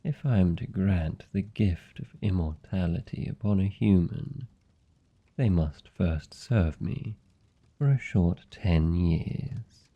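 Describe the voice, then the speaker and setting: A man playing a haughty, seductive vampire lord in a fantasy ASMR roleplay, with a British accent, a low gravelly husky voice and a deliberate, teasing, condescending delivery. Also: dull audio, heavily acted. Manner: slow, deliberate, aristocratic, teasing.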